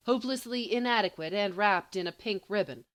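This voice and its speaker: A woman reading a story aloud as an expressive audiobook narrator. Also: medium pitch, brisk. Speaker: volunteer audiobook reader.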